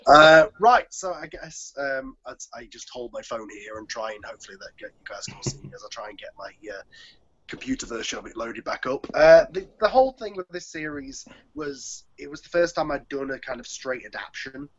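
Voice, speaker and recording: A man's voice: medium pitch, sing-song, brisk, fairly bright tone. A man hosting a casual group chat on an online call, talking in a rambling, hesitant way. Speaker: host, audio drama producer. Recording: dull lo-fi call audio.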